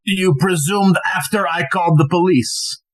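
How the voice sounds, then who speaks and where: A man's voice: sits high, a little slow. A man playing a dutiful, literal-minded attendant in a farcical audio play. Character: dutiful male attendant who literally corrects his superior.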